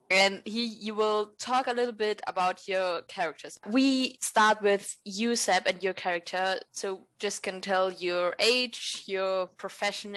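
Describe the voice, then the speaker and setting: A woman hosting a podcast interview, asking questions in a relaxed way. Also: medium pitch, dry recording, rough recording. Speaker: podcast host.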